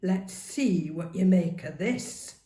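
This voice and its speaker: A woman's voice: lowish voice, lively intonation, slow pace, dark tone. A woman voicing a huge, brutal male driver who taunts his opponent with growls and sneers in a dramatic story reading. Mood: taunting. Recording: roomy, echoing sound.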